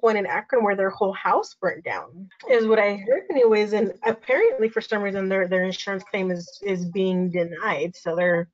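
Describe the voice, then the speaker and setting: An American woman chatting casually as an interviewer, informal and rambling, with an anecdotal way of talking. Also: mid-range voice. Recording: slightly dull, lo-fi call audio.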